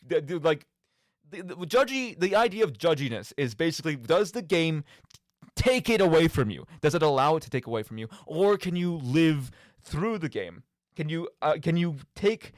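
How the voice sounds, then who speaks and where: A man's voice: a little high, no echo. A man hosting a show, casually rambling about video games in an emphatic way and often checking that listeners follow. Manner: animated, emphatic, often seeking agreement with "right?". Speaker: podcast and radio show host.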